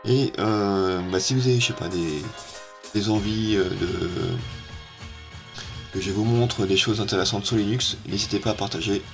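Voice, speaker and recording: A man walking through a software tutorial at his computer, hesitant and full of filler sounds, on an amateur setup. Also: fairly low pitch, normal speed, music in the background. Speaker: tech YouTuber. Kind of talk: casual screen-recorded software tutorial.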